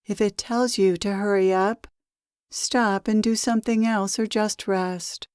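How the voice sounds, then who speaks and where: A woman leading a guided meditation as a spiritual teacher, speaking in a soothing, measured and gently instructive manner with pauses. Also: medium pitch, steady tone, clear, resonant tone, no room sound, studio quality.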